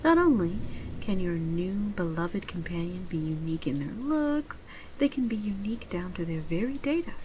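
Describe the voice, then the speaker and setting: A woman playing a polite, genteel pet shop saleswoman in a soft-spoken ASMR roleplay, measured and often near a whisper. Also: on the low side, very animated. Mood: earnest sales pitch. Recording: clearly audible ambient background noise.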